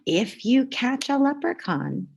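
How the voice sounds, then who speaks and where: A woman voicing a mysterious, playful man in a children's storybook, telling secrets with hushed, dramatic delivery. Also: high-pitched, sing-song, slow, creaky, a little hiss or hum, voice pushed up, heavily acted. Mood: secretive, instructing.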